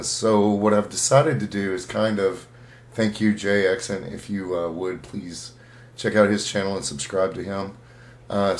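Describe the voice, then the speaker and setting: A man hosting a casual writing show, talking to his listeners in a halting way as he thinks aloud. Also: low-pitched. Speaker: host of a writing-tips show. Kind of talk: casual talk to listeners about storytelling.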